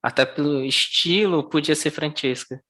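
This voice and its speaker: An interviewer who talks in a steady, mid-range voice. They take their time summarizing before building up to a question. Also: medium pitch. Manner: thoughtful, slow, summarizing before asking. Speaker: podcast interviewer.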